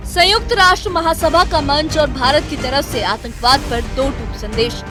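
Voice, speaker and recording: A woman's voice: sits high, moderate pace. A woman reading a scripted news report as a reporter. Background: background music bed.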